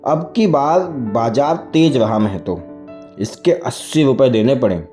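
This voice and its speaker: A man voicing a boastful, lonely widowed cowherd in his fifties in a storybook, with gruff rustic speech. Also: mid-range voice, expressive, a little slow, warm tone, soft background music, natural voice. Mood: curt, boastful. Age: 50s. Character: boastful, lonely fifty-year-old widowed male cowherd.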